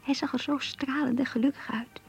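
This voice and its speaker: An actress playing an earnest, lovestruck young woman confiding her diary in a radio play, in a hushed, breathy voice. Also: high voice, fairly even intonation, a little fast, faint music behind. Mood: lovestruck, uneasy. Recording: muffled, lo-fi radio play recording.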